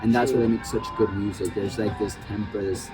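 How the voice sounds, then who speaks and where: A man's voice: lowish voice, steady tone, fairly quick. A man from Peru, a band member, chatting loosely in an interview outdoors on the steps of a party, with slang and plenty of swearing. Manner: loose, profane, streetwise slang. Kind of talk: casual chat. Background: party music.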